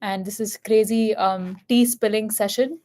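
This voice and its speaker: A woman's voice: medium pitch, fairly even intonation, measured pace, darkish tone. A young woman from India with a light Indian accent, talking in an earnest, candid and reflective casual chat. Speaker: host and MC.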